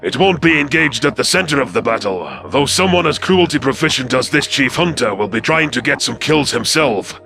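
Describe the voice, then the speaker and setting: A man playing a scrawny alien hunter who advises in formal, measured phrases in a science fiction audio story. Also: medium pitch, expressive, vocal fry, sharp tone. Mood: measured, persuasive.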